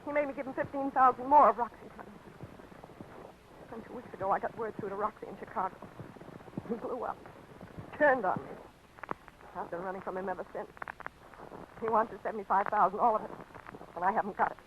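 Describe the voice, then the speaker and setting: An actress playing a frightened young woman on the run, rushing through a tense confession in a 1940s radio drama. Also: mid-range voice, lightly acted. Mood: fearful. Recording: dull old radio broadcast with faint hiss.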